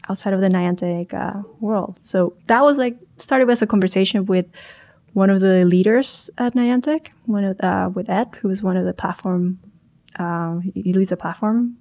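A young woman from Chile talks as a podcast guest at a studio boom mic. Her voice sits at an ordinary pitch. She speaks thoughtfully, pausing and restarting as she searches for the right word. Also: darkish tone. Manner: thoughtful, hesitant, many restarts and self-corrections. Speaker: startup founder guest. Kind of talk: podcast interview. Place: small studio room.

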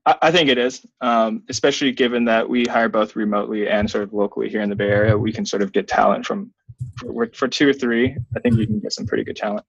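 A man in his thirties answering interview questions conversationally as a guest, in a measured, hedging way. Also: on the low side, creaky, slightly rough, no echo, lo-fi sound. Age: 30s. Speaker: software company founder, interview guest. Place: small plain indoor room. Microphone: webcam video call, wearing headphones.

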